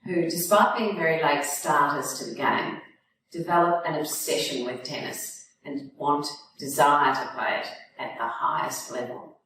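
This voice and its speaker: A woman reads a story aloud as its author. Her voice sits a little low, and it reaches the mic from some distance in a very echoey room, with faint noise underneath. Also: steady tone, measured pace, fairly bright tone. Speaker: author reading own novel. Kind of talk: audiobook-style reading of fiction.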